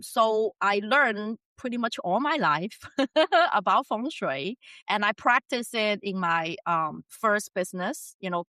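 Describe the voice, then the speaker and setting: A woman's voice: medium pitch, pushed and bright, clean tone, no echo. A woman from China, chatting as an interview guest and laughing often between her points. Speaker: podcast guest. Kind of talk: casual interview conversation.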